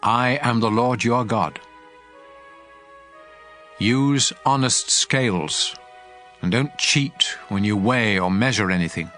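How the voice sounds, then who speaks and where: A man's voice: lowish voice, takes it slowly, pauses often, fairly bright tone. A man playing God as a stern lawgiver, solemn and commanding in a dramatized scripture reading. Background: music.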